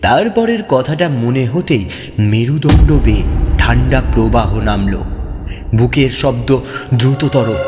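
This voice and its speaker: Male horror audiobook narrator: highly animated, suspenseful delivery, long reverb, music clearly underneath. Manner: suspenseful, expressive. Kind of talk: dramatic scripted first-person narration.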